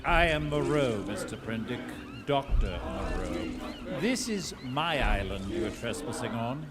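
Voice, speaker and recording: An actor playing a haughty, cold, imperious island scientist, formal and curt, in a theatrical live audio drama. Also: male voice, medium pitch, big pitch swings, measured pace. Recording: live hall with loud crowd noise.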